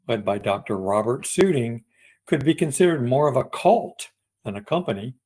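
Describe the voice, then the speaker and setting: Man narrating an audiobook, medium pitch. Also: creaky, darkish tone, rough recording. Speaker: audiobook reader.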